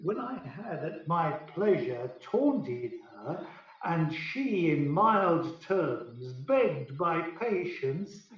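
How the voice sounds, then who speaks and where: Man as Oberon, a slow, stately fairy king. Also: fairly high pitch, big pitch swings, exaggerated voice. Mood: commanding, satisfied. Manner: stately, measured, declamatory. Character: Oberon, lordly fairy king, scheming yet softening. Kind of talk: radio play Shakespeare verse acting. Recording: very echoey, distant, dull sound.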